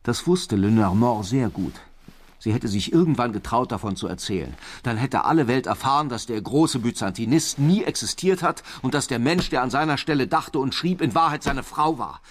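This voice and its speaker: An actor playing a brooding, lovesick police detective who narrates a radio crime drama. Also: male voice, mid-range voice, animated, normal speed, natural voice. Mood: grim. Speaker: lead actor and first-person narrator.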